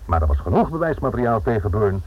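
An actor playing a stern, dryly sardonic police inspector in a Dutch radio drama, speaking formally and commandingly with a Netherlands accent. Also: male voice, fairly low pitch, big pitch swings, fast talker, husky. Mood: reproachful, dry. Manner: formal, commanding, faintly sarcastic. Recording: muffled, narrow-band old radio recording.

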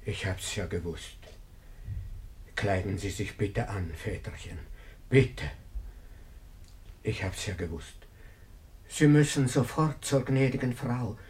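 An actor playing a fretful, devoted old Russian manservant in a German radio play, deferential and lamenting. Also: male voice, lowish voice, slow pace, pauses often, darkish tone. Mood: anxious, lamenting.

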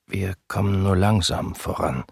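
An actor playing a weary wartime soldier giving a subdued, terse report in a radio drama, with a breathy, gravelly voice. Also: male voice, deep voice, a little slow, darkish tone, no echo, studio quality, heavily acted. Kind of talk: scripted testimony reading in radio drama.

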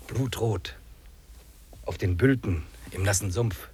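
An actor playing a dreamy, nature-loving hiker with a lyrical, musing manner in a 1950s German radio drama. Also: male voice, on the low side, slow pace, darkish tone, husky, full character voice. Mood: dreamy delight.

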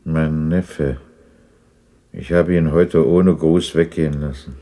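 A man's voice: extremely low pitch, fairly even intonation, slow, warm tone. A man acting a remorseful old miser moved by his memories in a 1960s German radio drama. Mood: wistful regret. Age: older.

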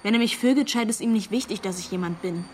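A woman's voice: medium pitch, fast talker, a little mellow, a little hiss or hum, natural voice. An actress playing a frank, troubled young girlfriend giving testimony in a radio drama, blunt and confiding. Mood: bitter, hurt. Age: young adult.

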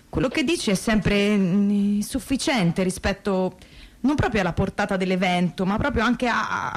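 An actress playing a reflective granddaughter of Holocaust survivors in a staged testimony reading, halting and conversational as she searches for words. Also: mid-range voice, natural voice. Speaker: reader in a staged radio reading. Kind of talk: dramatic reading of personal testimony with music.

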